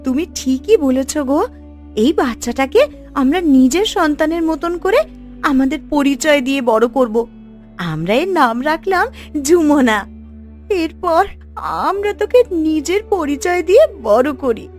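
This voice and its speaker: A woman's voice: high voice, expressive, unhurried, darkish tone, soft background music. A woman playing a tender, sorrowful village wife and mother in a cartoon, with a pleading, emotional delivery. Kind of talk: Bengali cartoon voice acting.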